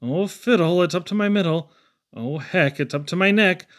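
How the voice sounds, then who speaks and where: A man's voice: high voice, normal speed, close to an everyday voice. Man acting a hapless, dismayed snake victim. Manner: exclamatory, mounting dismay. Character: hapless storyteller being swallowed alive by a snake.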